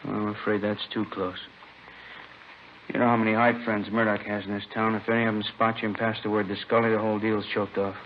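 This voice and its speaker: A man's voice: lowish voice, little pitch movement, quick pace, close to an everyday voice. An actor playing a sober, decisive male police officer in a vintage radio crime drama. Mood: grave. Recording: dull, faintly noisy vintage radio recording.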